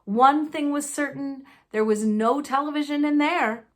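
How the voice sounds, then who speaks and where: A woman narrating a children's novel aloud, expressively, with deliberate and dramatic phrasing. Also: high voice. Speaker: storybook narrator.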